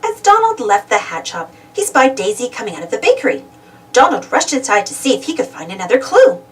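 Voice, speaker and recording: A woman reading a children's storybook aloud in an expressive, dramatic storytelling manner. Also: on the high side, fairly quick, distant mic. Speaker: storybook reader. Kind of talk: animated children's read-aloud narration.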